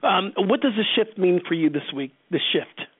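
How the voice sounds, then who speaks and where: Male radio host, high-pitched voice, casual interview chat, narrow phone-like sound. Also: expressive, normal speed, breathy edge, dry recording.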